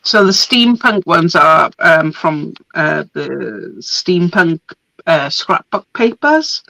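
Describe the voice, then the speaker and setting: A woman, hoarse from a cold, presenting craft papers in a chatty, relaxed way. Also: low-pitched, takes it slowly, no room sound. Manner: chatty, relaxed, laughs often. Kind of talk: casual product show-and-tell. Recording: dry, dull lo-fi sound.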